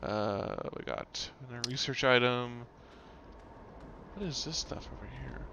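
A man's voice: medium pitch, measured pace, gravelly, pushed and bright, a little hiss or hum. Easygoing man making dry jokes. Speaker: let's-play co-host. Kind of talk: casual gaming chat.

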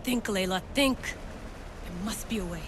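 A woman's voice: medium pitch, a little slow, background noise, close to an everyday voice. An actress playing a bold, self-assured young female assassin and tech-savvy explorer in a video game cutscene, with a wry, focused manner.

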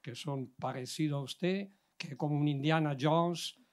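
A man's voice: on the high side, unhurried, a little mellow. An older man from Italy, speaking Spanish with an Italian accent, rambles through a long question from the outdoor audience on a handheld mic, searching for words as he goes. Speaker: audience member asking a question.

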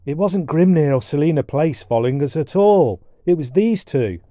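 A man's voice: fairly high pitch, animated, normal speed, mellow, no room sound, clean studio sound, pitched above the performer's own voice, close to an everyday voice. A man voicing an earnest, eager young girl in a storybook adventure reading. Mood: relieved, amused. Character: earnest, relieved young girl in a fantasy tale.

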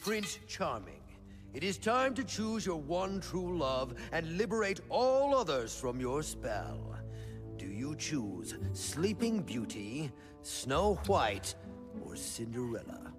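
An actor playing a smug, bossy master of ceremonies in an animated film, pompous and theatrical. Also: male voice, fairly high pitch, slow pace. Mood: ceremonious. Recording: long reverb, faint music behind.

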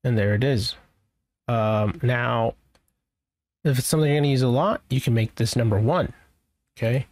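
A man's voice: mid-range voice, moderate pace, pauses often, a little mellow, no room sound. A man presenting an IT tutorial in a casual, informal way, rambling a little as he thinks aloud through each step. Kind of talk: casual screen-share software walkthrough.

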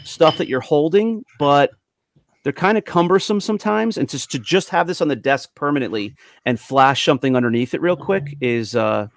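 A man's voice: a little high, animated, moderate pace, gravelly, dry recording. Casual man on a dry close mic. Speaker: panelist showing collectibles. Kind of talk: casual show-and-tell chat. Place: small room of collectibles at home, video call. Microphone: close studio mic on arm, headphones.

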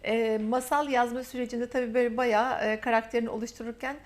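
Woman tv host, slightly high voice, warm and encouraging, stretches her phrases. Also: fairly even intonation. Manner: warm, affirming, drawn-out phrasing. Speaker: TV interview host. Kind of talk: interview hosting.

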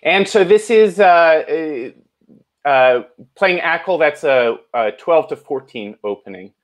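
A man co-hosting a bridge lesson on a video call, asking questions in a hesitant, soft-spoken way with murmured agreement. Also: fairly high pitch, animated, slow pace. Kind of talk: casual chat on a video call.

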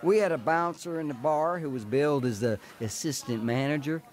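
An actor playing a tough, plainspoken ex-criminal in a dramatized radio story. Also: male voice, fairly high pitch, fairly quick, natural voice. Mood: wry, matter-of-fact. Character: tough male ex-criminal recounting his bootlegging youth. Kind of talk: dramatized first-person narration and dialogue.

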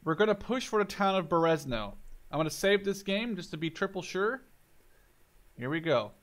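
A man's voice: high-pitched, expressive, moderate pace, fairly bright tone. A man in his twenties giving casual gameplay commentary, thinking aloud in an informal, sweary way. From Canada-based Dutchman. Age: 20s. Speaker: gaming video host. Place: indoors, voice over gameplay.

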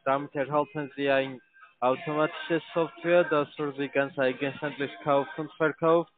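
A man who is not a native German speaker, giving a formal, halting phone sales pitch. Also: steady tone. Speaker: call center salesman. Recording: phone line with faint music. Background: faint music.

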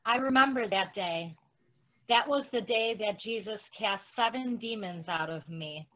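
A woman's voice: mid-range voice, a little slow, lightly acted. A woman playing a grateful, devoted follower in an amateur dramatic reading, giving earnest testimony over a tinny video call. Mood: reflective.

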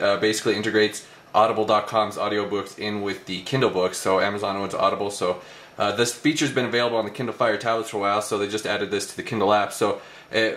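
A man's voice: on the low side, a bit bright. A man hosting a casual hands-on tech demo, talking indoors in a room. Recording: roomy, echoey indoor recording.

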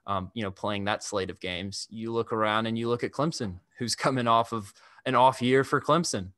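A young man hosting a sports podcast over a video call, talking in a measured, analytical way at a desk microphone. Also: on the low side, fairly bright tone, dry recording, studio quality. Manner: measured, analytical, restarts phrases. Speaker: podcast host. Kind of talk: casual sports talk. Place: indoor room.

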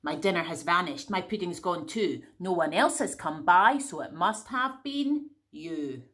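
A woman voicing an indignant male farmer who shouts his accusations. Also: fairly low pitch, animated, measured pace, voice pushed down.

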